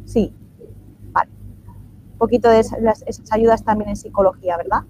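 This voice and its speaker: A woman's voice: mid-range voice, fairly even intonation, fairly quick, darkish tone. A woman moderating a live conference Q&A, reading out audience questions and affirming answers. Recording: dull lo-fi sound, faint noise.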